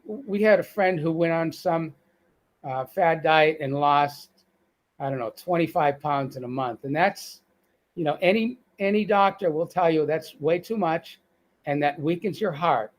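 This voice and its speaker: A man's voice: fairly high pitch, unhurried. A middle-aged man with a Boston accent, talking as a guest on a live video call, with many fillers and restarts. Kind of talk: casual live-stream interview.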